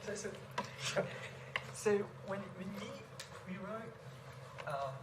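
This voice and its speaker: A man's voice: high-pitched, expressive, very slow. A young man from Iran with a Persian accent, a native Persian speaker and student, chatting casually and hesitantly with many likes and restarts. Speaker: engineering student. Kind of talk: casual chat with tutor. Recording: echoey room with clear noise.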